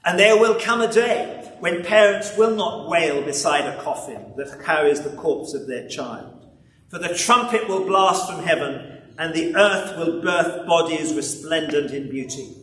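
An elderly man preaches in a large, echoing church, picked up from a distance. His delivery is very slow and solemn, with weighty pauses and deliberate repetition. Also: fairly high pitch, fairly bright tone. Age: 70s. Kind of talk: sermon with scripture reading. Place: large church interior, standing at a lectern. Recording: echoey hall, distant mic, background noise.

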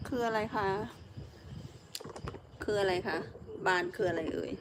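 A woman's voice: mid-range voice, slow, background noise. A woman chatting casually as the host of a family livestream, often repeating her prompts and requests to viewers. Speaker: mother hosting a livestream of a child. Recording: loud music behind the voice. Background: loud music.

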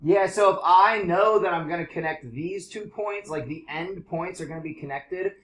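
Stuffy-nosed guy teaching math to a class, fairly high voice, chatty and loose. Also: moderate pace, fairly bright tone. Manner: casual, chatty explaining with fillers. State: congested from allergies. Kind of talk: classroom lesson.

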